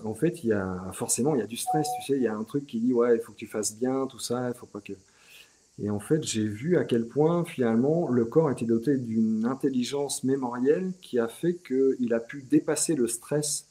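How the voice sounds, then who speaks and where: A middle-aged French-speaking man, a guest in an interview, talking in a relaxed, chatty way with frequent restarts and small fillers. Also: mid-range voice, poor audio. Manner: hesitant, many restarts and "tu vois".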